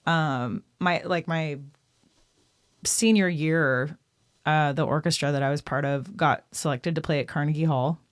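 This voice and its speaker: A talkative woman in her thirties from Texas, speaking as a podcast guest. She rambles warmly through stories with lots of "like"s and little laughs. The sound is dry and close, with no room behind her. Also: low voice, measured pace, no echo. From Austin, Texas. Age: 30s. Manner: chatty, lively, laughs often, says "like" a lot. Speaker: guest musician. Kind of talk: casual podcast interview.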